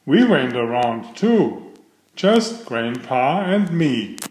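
A middle-aged man reading aloud to young children in a simple storytelling style, with clearly phrased delivery. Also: mid-range voice, very animated, slow, darkish tone. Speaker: children's book reader. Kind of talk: read-aloud bedtime storytelling. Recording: roomy, echoey voice recording.

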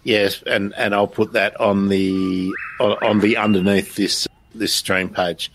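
A man's voice: fairly low pitch, flat delivery, measured pace, fairly bright tone, slight background noise. A man hosting a live stream in a casual, chatty, self-deprecating way. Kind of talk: casual chat.

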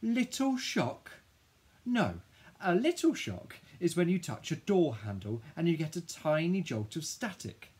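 A man voicing a grieving, dramatic ten-year-old boy who tells his own story in an expressive, husky storybook voice. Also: fairly low pitch, a little slow, long pauses. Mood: indignant, distraught. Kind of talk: first-person children's audiobook narration.

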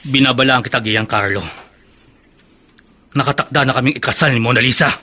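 A man's voice: medium pitch, fairly even intonation, brisk, sharp tone, a little hiss or hum, lightly acted. A Filipino man playing a menacing, jealous suitor in a radio drama.

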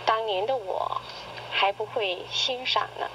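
A middle-aged woman reading a personal essay in radio drama style, with measured, literary, expressive delivery. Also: mid-range voice. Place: played back from a cassette recorder speaker. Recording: noisy cassette tape played through a recorder.

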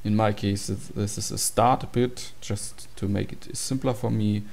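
A man's voice: fairly low pitch, fairly even intonation, measured pace, vocal fry, a little mellow. A man giving an informal, matter-of-fact tutorial walkthrough of program code. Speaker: tutorial presenter.

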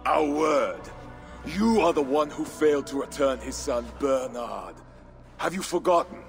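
An actor playing a middle-aged, world-weary and bitter Viking warlord in a video game, brooding and declamatory. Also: male voice, on the high side, expressive, a little slow, creaky. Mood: defiant, angry. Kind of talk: dramatic video game acting. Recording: music behind the voice.

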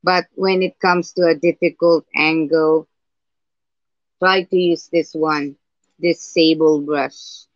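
A woman with a husky voice hosting a live painting tutorial, talking slowly and hesitantly with many fillers and restarts. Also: sits low, steady tone, no room sound. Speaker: art livestream host. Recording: dull lo-fi livestream audio.

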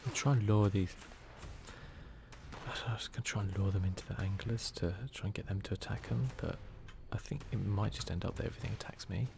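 A man giving soft-spoken, often whispered ASMR commentary over a video game, with a dry, understated, mildly sweary manner. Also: lowish voice, steady tone, a little fast, creaky, background noise. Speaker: gaming video host.

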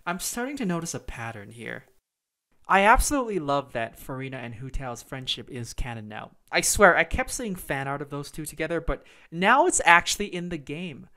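A man's voice: fairly high pitch, big pitch swings, normal speed, pushed and bright. A man hosting a video game review, talking in a casual, opinionated way with conversational, emphatic phrasing.

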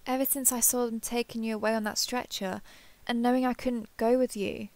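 A woman playing an earnest, affectionate starship captain in an intimate sci-fi audio roleplay, speaking haltingly and confessionally. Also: a little high, fairly even intonation, darkish tone, no echo, natural voice. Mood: flustered, tender. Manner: hesitant, halting, apologetic, confessional.

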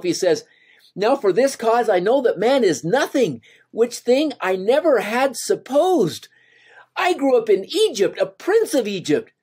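A man's voice: high voice, a little mellow, slightly rough. An older man leads a scripture lesson at his desk, speaking earnestly into a webcam. He leans into quoted lines with rising emphasis, his voice plain and close. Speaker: gospel study teacher. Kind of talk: scripture lesson over slides.

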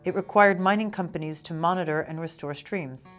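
Woman in her 30s narrating a documentary, kinda low voice, soft music behind. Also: brisk.

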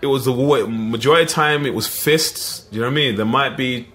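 A middle-aged man with an East London accent, telling lively stories as a podcast guest, full of "you know what I mean". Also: mid-range voice, a little fast. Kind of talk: casual storytelling.

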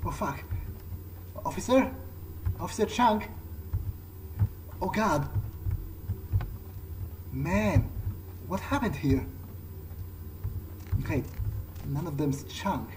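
A man playing a video game and speaking in character, in a halting way that repeats words. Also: fairly high pitch, lively intonation, noisy background. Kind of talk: in-character roleplay game commentary. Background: game music.

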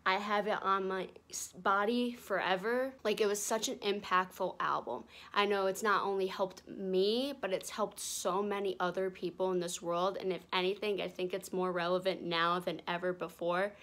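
A woman's voice: mid-range voice, a bit bright. Earnest young woman on a phone camera. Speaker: fan sending a video message. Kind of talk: sincere direct-to-camera message. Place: room indoors, in an armchair.

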